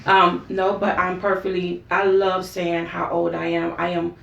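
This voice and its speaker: A woman's voice: on the low side, a little slow, vocal fry. A woman in her forties, a talk show guest telling her life story in an earnest, candid way. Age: 40s. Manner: earnest, storytelling, animated when quoting herself. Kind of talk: candid personal interview. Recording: roomy, distant mic, dull sound.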